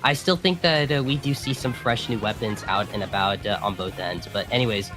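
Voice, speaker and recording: A man with a raspy voice, hoarse from a cold, doing co-commentary for a video game tournament and hesitating often with fillers and restarts. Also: on the low side, fairly quick, over music. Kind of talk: esports commentary.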